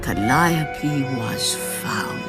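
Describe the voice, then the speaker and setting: A solemn storyteller narrating an ancient epic for a game. The delivery is dramatic and portentous, in a fairly low register for a woman, with loud music underneath. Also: lively intonation, very slow, exaggerated voice.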